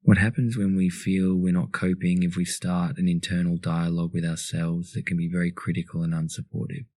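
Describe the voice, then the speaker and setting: A man leading a guided meditation in a calm, soothing, instructional manner. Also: low voice, fairly quick, dry recording.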